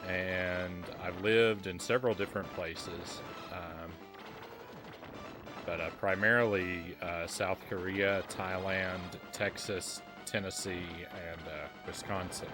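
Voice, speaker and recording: A man's voice: deep voice, fairly even intonation, slow, music in the background. A man from Kentucky streaming a retro game, reading chat aloud and chatting casually with plenty of ums and uhs. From Kentucky, USA.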